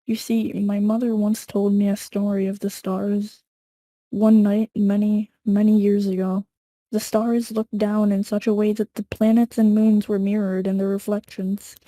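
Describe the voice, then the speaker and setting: A woman playing a gentle, dreamy storyteller in an amateur table read, speaking softly. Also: mid-range voice, level tone, measured pace, dry recording, natural voice, low-quality recording. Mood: tender, wistful.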